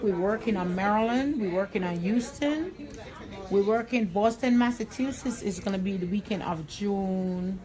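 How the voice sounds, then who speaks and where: Blunt Jamaican woman stylist. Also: medium pitch. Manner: blunt, direct. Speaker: hairstylist and educator. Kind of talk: live tutorial while cutting hair. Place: hair salon.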